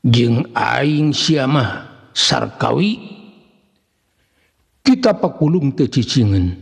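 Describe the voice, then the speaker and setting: Reverberant, slow-paced Sundanese male storyteller. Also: mid-range voice, vocal fry, darkish tone, slightly rough. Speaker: narrator of Sundanese serial tales. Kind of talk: scripted dramatic story narration. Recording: long hall-like reverb on voice.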